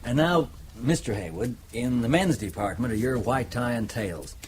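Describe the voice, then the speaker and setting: A man playing a brisk agent who supplies spy gadgets in an audio drama, matter-of-fact and instructive. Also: mid-range voice, expressive, a little hiss or hum, close to an everyday voice. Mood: businesslike.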